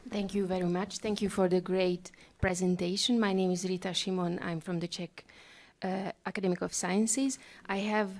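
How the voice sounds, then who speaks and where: A woman's voice: mid-range voice, a little mellow. A woman academic putting a question from the audience at a conference.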